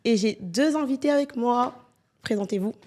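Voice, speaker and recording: A twenty-one-year-old woman hosts a relaxed podcast chat with two guests. She talks loosely and playfully, teasing and laughing as she goes. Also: a little high, normal speed. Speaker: podcast host. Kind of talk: casual chat.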